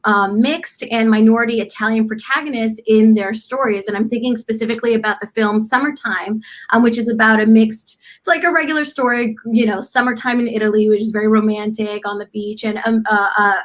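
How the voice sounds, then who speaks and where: A woman with a somewhat high voice, leading a roundtable discussion. She sounds upbeat and fills her pauses with "um" as she introduces the next speaker. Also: steady tone. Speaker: roundtable moderator. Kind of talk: hosting a roundtable.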